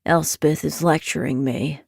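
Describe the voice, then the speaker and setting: A woman's voice: sits low, fairly even intonation, unhurried, creaky, breathy edge, dry recording, pitched below the performer's own voice, heavily acted. A woman voicing an undead male nightmare spirit, sneering and dry in manner.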